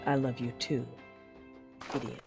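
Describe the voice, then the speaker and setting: A woman's voice: rumbling low, flat delivery, a little slow, gravelly, music in the background, exaggerated voice. A woman playing a fierce, conflicted Sith woman who narrates a sci-fi audio drama with controlled, brooding drama. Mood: simmering passion.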